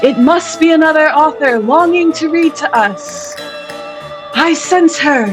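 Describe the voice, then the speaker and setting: A woman's voice: sits high, a little slow, music in the background. A woman hosting an online reading event, chatty and playful, sometimes mock-dramatic. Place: indoors, on a video call.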